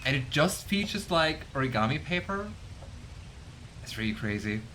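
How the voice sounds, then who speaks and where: A man's voice: sing-song, moderate pace, bright, forceful tone. Young man, gameplay commentary, thinking aloud, mumbling, noisy home recording. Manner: musing, unsure, drifts into low mumbles. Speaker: let's play host.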